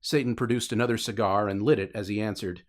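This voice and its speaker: A man's voice: fairly low pitch, steady tone, fast. A man narrating fiction as a scripted, dramatic audiobook reading.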